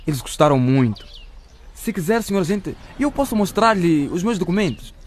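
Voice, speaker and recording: An actor playing a friendly, crafty truck driver in a radio drama, speaking politely and placatingly. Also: male voice, high voice, very animated, normal speed. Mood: obliging.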